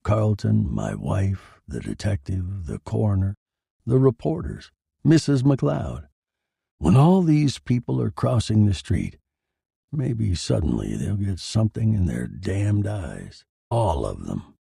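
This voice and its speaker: A man's voice: fairly low pitch, huge pitch swings, slow, vocal fry, warm tone, husky, dry recording, studio quality. A man giving a brooding, theatrical first-person narration of a scripted story.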